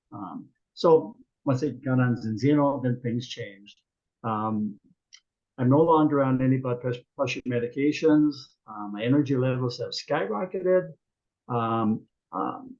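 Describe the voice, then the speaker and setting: A man in his seventies, a guest telling his story in a casual, unhurried way with many pauses and ums. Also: mid-range voice, mellow. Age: 70s. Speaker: guest business partner. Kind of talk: casual video call chat. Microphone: video call. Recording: muffled video call audio.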